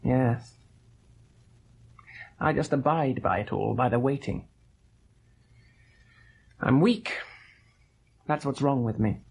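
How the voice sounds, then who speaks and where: An actor playing a weak, gentle husband of about thirty in a radio drama, husky and halting, with a plaintive, weary manner. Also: male voice, medium pitch, heavily acted. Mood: defeated. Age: 30s. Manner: halting, plaintive, self-doubting. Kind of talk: old radio drama acting.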